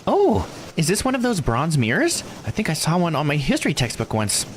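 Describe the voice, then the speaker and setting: Man voicing an earnest, animated young heroine, pitched up. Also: fairly quick, slight background noise. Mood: surprised, curious. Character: earnest, curious, polite young woman, visual novel heroine. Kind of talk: visual novel character read aloud.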